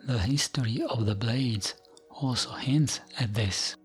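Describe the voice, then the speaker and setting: A man narrates fantasy lore in a soothing, gentle ASMR style. His delivery is very unhurried, with pauses between short phrases, at an average male pitch. The voice is soft and edges toward a breathy whisper, with faint music playing behind it. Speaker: narrator of gaming lore videos. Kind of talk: ASMR bedtime reading of game lore, scripted narration. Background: background music.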